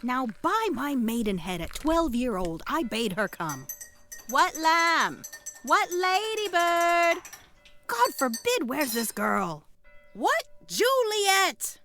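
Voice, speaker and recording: A woman's voice: sits high, sing-song, slow pace, a bit bright, soft background music, heavily acted. A woman playing a talkative, fussy, affectionate old nurse in a theatrical Shakespearean audio play. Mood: calling, fussing. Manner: theatrical, calling out.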